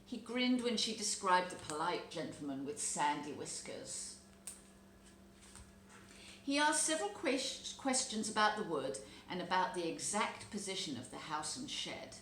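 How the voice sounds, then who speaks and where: A woman reading a children's story aloud as an expressive narrator. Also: on the low side, unhurried. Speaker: storybook reader. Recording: roomy echo, background noise.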